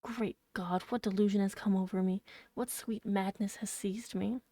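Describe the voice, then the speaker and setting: A woman voicing a blind, tormented gentleman from a novel, imperious and desperately yearning in an impassioned delivery. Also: mid-range voice, steady tone, normal speed, no room sound, natural voice. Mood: anguished.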